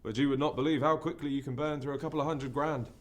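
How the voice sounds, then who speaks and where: A man's voice: a little high, fairly even intonation, natural voice. Man acting a brittle, lost prodigal son. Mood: uneasy confession. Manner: confessional, halting, with showy bursts. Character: lost prodigal young man, brittle showman turned regretful. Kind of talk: theatrical monologue posing as stand-up comedy.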